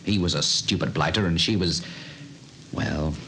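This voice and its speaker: An actor playing a breezy young newlywed aristocrat who dismisses talk of a curse, in old-time radio drama style. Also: male voice, rumbling low, creaky, bright, forceful tone, exaggerated voice. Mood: scoffing. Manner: dismissive, brisk upper-class tone. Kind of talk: 1930s radio acting. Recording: old radio broadcast with faint hiss.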